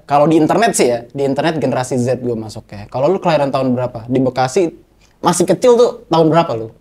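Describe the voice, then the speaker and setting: A man's voice: mid-range voice, fast talker, mellow. A young man hosting a casual podcast chat, playful and slangy, cracking jokes as he asks questions. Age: young adult. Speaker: podcast host.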